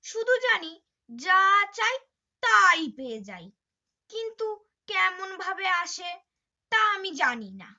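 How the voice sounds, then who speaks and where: A woman playing a carefree young daughter-in-law in a puppet-show moral story, with exaggerated, drawn-out delivery. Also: very high-pitched, big pitch swings, pushed and bright, exaggerated voice. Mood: fretful. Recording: dull lo-fi audio.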